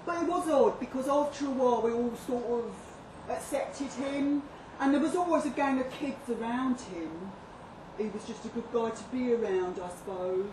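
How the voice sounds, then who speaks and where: A woman's voice: a little high, normal speed, lightly acted. A woman playing a cheeky young classmate, blunt, slangy and casual, recorded in a live venue. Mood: brash, amused. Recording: distant echoey live venue, noisy room.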